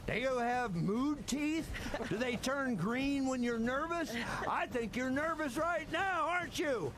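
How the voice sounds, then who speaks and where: A man's voice: very high-pitched, animated, a little slow. A man doing an abusive, mocking drill sergeant puppet as an insult comedy act, barking his lines. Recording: live room with audience noise.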